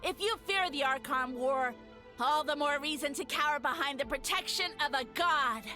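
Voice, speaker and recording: A woman's voice: high-pitched, normal speed, bright, forceful tone, soft background music. A woman voicing a small, pompous mushroom god villain in a video game, haughty and theatrical in formal, grandiose speech. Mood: indignant, gloating. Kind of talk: scripted video game character acting.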